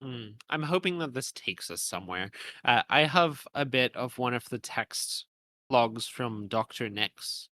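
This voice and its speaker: A man's voice: medium pitch, measured pace, pushed and bright, dry recording. A man co-hosting a podcast, discussing a video game with analytical, carefully built phrasing. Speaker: podcast co-host.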